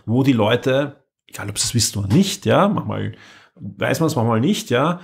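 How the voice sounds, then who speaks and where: A man co-hosting a podcast, talking casually in a halting way, repeating words and adding tag questions. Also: medium pitch, lively intonation, gravelly. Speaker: podcast co-host. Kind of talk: casual chat about radio dramas.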